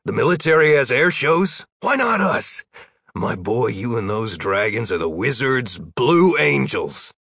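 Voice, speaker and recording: A man's voice: medium pitch, very animated, unhurried, vocal fry, a bit bright, breathy edge, no room sound, studio quality, full character voice. A man voicing a sly older male wizard in an audiobook, breezy, folksy and teasing as he talks persuasively. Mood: persuasive, scheming.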